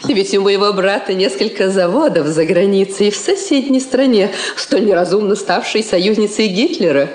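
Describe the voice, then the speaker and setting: An actress playing a genteel society countess, effusive and affectedly polite, in stage-style radio drama. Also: female voice, medium pitch, normal speed, few pauses, darkish tone, natural voice. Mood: airy, coy. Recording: echoey old studio drama recording.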